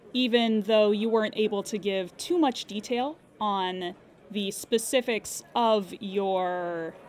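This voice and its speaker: A woman playing a polite, measured insurance claims agent who speaks formally and diplomatically on an improvised audio drama call. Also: on the high side. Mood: careful.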